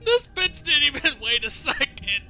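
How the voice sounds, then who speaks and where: A man's voice: very high-pitched, big pitch swings, normal speed, bright, forceful tone, dull audio, soft background music. A man doing casual, sweary let's play commentary, reacting with exasperation as he streams. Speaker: video game streamer.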